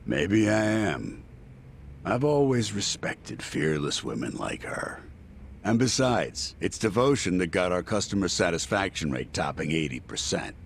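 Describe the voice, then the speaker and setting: An actor playing a sly, crooked detective in a video game, wry and self-assured. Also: male voice, low voice, gravelly, a little hiss or hum, full character voice. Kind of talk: video game cutscene dialogue.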